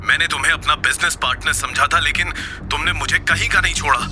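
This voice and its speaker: A man's voice: a little high, fast, close to an everyday voice. A man plays a bitter businessman who feels betrayed, in an audio drama. His raised voice is anguished and accusing, set over prominent music. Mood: wounded fury. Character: betrayed, bitter, panicking male businessman villain.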